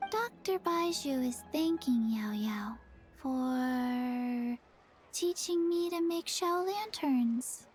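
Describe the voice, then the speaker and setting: A woman voicing a small, solemn, earnest girl in a video game, with a halting, deliberate delivery. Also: high-pitched, expressive, a little mellow, faint music behind. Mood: earnest, subdued. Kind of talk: video game character dialogue.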